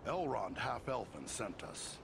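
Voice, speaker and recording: Earnest fantasy game hero: plain-spoken, lively intonation, clear background noise. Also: male voice, fairly low pitch, measured pace, exaggerated voice. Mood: resolute, sincere. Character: earnest male fantasy hero, sturdy and honourable. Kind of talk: scripted fantasy game dialogue.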